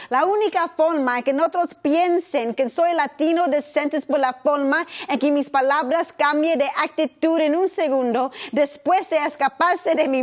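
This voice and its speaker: A young woman recites a spoken word poem with a rhythmic, measured cadence, moving between English and Spanish. Her voice sits high. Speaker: performance poet. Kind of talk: spoken word poetry performance.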